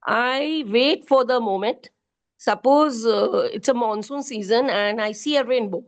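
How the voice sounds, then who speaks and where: A middle-aged woman with an Indian accent, a poet and educator answering interview questions earnestly, often pausing with fillers. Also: a little high. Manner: earnest, hesitant with frequent fillers.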